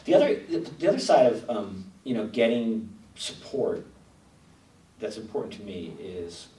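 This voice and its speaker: A man's voice: on the low side, big pitch swings, normal speed, warm tone, recorded from a distance. A man speaking earnestly about policy on a panel show, pressing his points with emphasis. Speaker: panel guest. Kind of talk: policy discussion. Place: TV studio. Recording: roomy studio heard from off-mic, faint noise.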